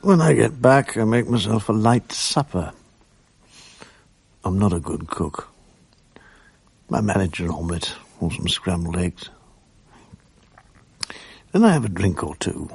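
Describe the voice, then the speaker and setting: A gravelly, sleepless writer in his fifties. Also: low-pitched, very animated, measured pace, long pauses, a little mellow, full character voice. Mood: weary. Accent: British. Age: 50s. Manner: dry, self-mocking, gloomy. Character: weary bereaved middle-aged writer, sleepless and bitter. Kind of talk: radio drama narration.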